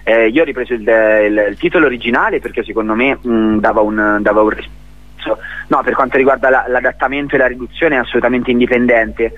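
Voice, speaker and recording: A man from Italy giving a spontaneous radio interview as a theatre director, speaking haltingly and repeating words as he searches for phrasing. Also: mid-range voice, fairly even intonation, dry recording, narrow, tinny audio.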